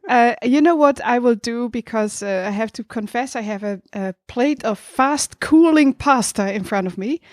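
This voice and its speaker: A woman's voice: a little high, normal speed, hardly any pauses, no room sound. Woman chatting hesitantly, close and dry. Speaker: podcast co-host. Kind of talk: casual chat.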